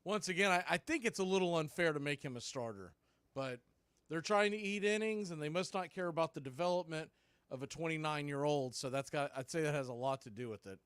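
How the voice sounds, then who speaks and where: Male play-by-play host, fairly high voice, smooth and dry, conversational fan commentary. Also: a little fast, fairly bright tone, dry recording.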